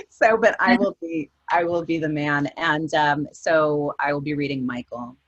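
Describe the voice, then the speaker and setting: Woman author, low husky voice, casual and chatty. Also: unhurried, muffled recording. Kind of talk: casual book event talk.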